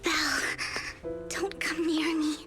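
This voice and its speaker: Very high-voiced, dainty game heroine. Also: female voice, flat delivery, takes it slowly. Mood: tender, resolute. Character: devoted, dramatic, dainty young anime-style game heroine. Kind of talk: anime-style video game voice acting. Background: loud game music.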